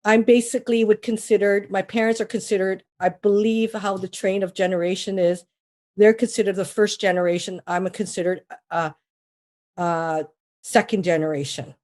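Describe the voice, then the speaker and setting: A woman's voice: medium pitch, fairly even intonation, normal speed, a little mellow. A woman, a mortgage broker being interviewed, talking casually with many restarts and fillers. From Trinidad. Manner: rambling, with frequent restarts and fillers.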